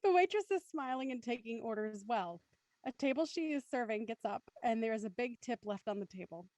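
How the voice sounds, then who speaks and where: A woman's voice: a little high, animated, brisk, a little mellow, no echo, rough recording. A woman reading stage directions as scripted narration.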